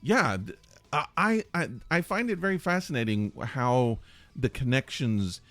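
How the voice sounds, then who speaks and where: A man's voice: medium pitch, expressive, a little slow, fairly bright tone, no echo. A man co-hosting a podcast, chatting casually in a rambling way that often restarts sentences. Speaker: podcast co-host. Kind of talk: casual chat.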